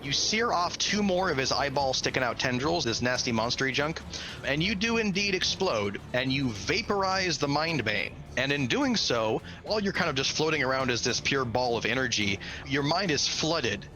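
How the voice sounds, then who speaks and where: A man's voice: medium pitch, very animated, moderate pace, bright, forceful tone, slight background noise, poor audio. A man working as game master, narrating a tabletop game with an enthusiastic, slangy and dramatic delivery. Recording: music behind the voice. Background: background music.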